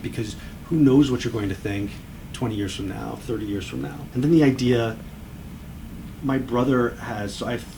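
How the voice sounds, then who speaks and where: A man musing haltingly in a podcast discussion, recorded at a distance in a noisy room. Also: lowish voice. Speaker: podcast panelist. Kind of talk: conversational discussion.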